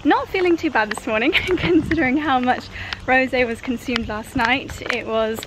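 A woman's voice: high-pitched, normal speed, background noise. A young woman vlogging on holiday, chatty and upbeat, talking to a camera held at arm's length outdoors. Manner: chatty, upbeat, calls viewers darling. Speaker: travel vlogger. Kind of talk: casual vlog narration. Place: outdoors at a resort and beach club. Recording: loud music and crowd in club.

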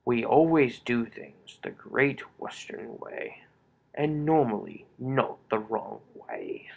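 A man's voice: mid-range voice, animated, takes it slowly, vocal fry, exaggerated voice. A man voicing a proper, hearty railway engine from a children's cartoon, self-assured and correct. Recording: dull lo-fi home recording.

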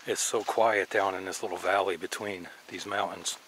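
A man's voice: deep voice, steady tone, normal speed, a bit bright, slight background noise, poor audio. A middle-aged man chatting casually and enthusiastically while hiking wooded mountain trails outdoors, recorded on an action camera. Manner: enthusiastic, musing aloud while walking. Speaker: hiking vlogger.

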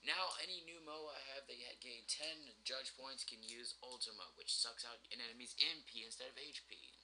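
A man's voice: medium pitch, normal speed, sharp tone, slight background noise, rough recording. A young man giving casual, rambling gameplay commentary with sardonic, sweary complaints. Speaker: gamer, let's play host. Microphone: shared microphone, home gameplay recording.

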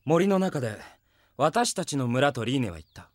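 A man playing an earnest, steady young knight in an anime audio drama. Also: mid-range voice, normal speed, dry recording, natural voice. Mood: probing, calm.

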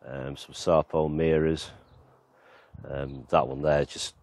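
A man's voice: very deep voice, flat delivery, slow pace, darkish tone, dry recording. A man giving a casual, rambling tour of his allotment and back garden outdoors, with lots of fillers, filmed on a handheld camera. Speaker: gardening vlogger.

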